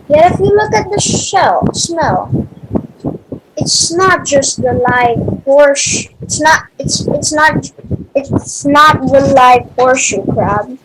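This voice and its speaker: A female reader with a halting delivery, reading a children's picture book aloud and stumbling over words. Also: high voice, background noise.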